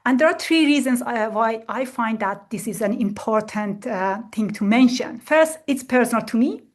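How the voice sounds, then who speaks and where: Slightly high-voiced woman lecturing with fillers. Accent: Iranian. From Iran. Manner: measured, many fillers. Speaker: speaker giving a talk. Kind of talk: lecture with slides.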